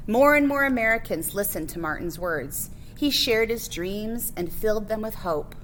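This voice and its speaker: A woman's voice: mid-range voice, animated, moderate pace, fairly bright tone, slight background noise, rough recording. A woman reading a children's picture book biography aloud as a librarian, phrase by phrase with emphasis on key words.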